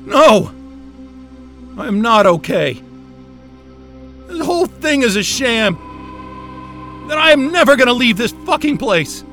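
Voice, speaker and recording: Stranded polar worker in audio drama: high-pitched, volatile range, profane, urgent, exasperated. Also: male voice, big pitch swings, measured pace, pauses often, fairly bright tone, soft background music, heavily acted. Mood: furious, despairing. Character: panicked, sweary man stranded at polar station.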